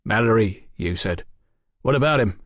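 A man's voice: on the low side, lively intonation, moderate pace, a bit bright, no echo, studio quality. A man voicing an insolent, self-righteous political heavy in an audiobook, coarse and pompous, leaning on his words. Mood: wary. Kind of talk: audiobook character acting.

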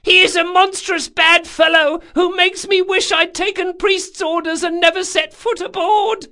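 A man voicing a comically put-upon sailor in a squeaky, plaintive voice, as audiobook character acting. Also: steady tone, measured pace, bright, forceful tone, full character voice. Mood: whining. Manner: plaintive mock complaint.

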